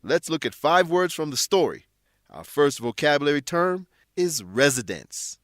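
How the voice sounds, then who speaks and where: A man's voice: a little high, big pitch swings, moderate pace, a bit bright, no echo, clean studio sound. A man narrating a scripted educational radio program as host and English teacher, addressing listeners in an explanatory way.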